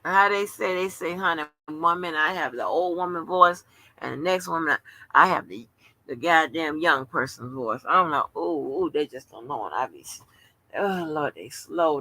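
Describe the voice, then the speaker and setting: A woman's voice: low voice, normal speed, gravelly, bright, forceful tone, low-quality recording. A woman in her seventies hosting a casual late-night livestream, talking in a rambling, chatty way with salty slang. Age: 70s. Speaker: livestream host.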